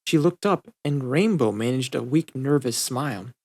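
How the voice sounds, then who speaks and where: A man's voice: medium pitch, lively intonation, normal speed, creaky, darkish tone. Man narrating an audiobook story.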